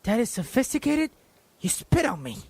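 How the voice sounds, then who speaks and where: A woman's voice: on the high side, very animated, moderate pace, darkish tone, breathy edge, no room sound, full character voice. A woman voicing a blunt, sarcastic female captive in a comedic fantasy audio drama. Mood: scornful. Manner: sarcastic, incredulous.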